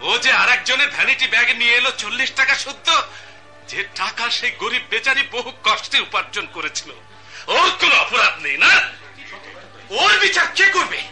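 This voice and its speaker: Poor accused man, high cracking voice all over the place, faint music under it. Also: big pitch swings, a little fast, sharp tone, heavily acted. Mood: defiant. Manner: pleading then bitterly railing, sobbing laughs. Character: poor accused man Kuddus, desperate, defiant. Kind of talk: Bangladeshi state radio drama acting.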